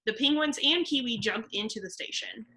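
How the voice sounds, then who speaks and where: A woman's voice: a little high, fairly quick, bright, forceful tone, a little hiss or hum, low-quality recording. A woman reading stage directions as the narrator of a scripted table read.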